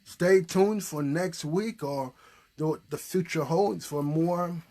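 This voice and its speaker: A man's voice: fairly high pitch, slow, mellow. A man hosting a puppet show, chatting casually and encouragingly and prompting with questions. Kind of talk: casual, unscripted chat.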